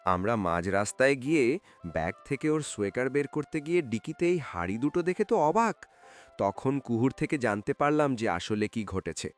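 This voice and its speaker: Man playing a courteous, animated middle-aged gentleman. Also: mid-range voice, moderate pace, faint music behind, close to an everyday voice. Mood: apologetic.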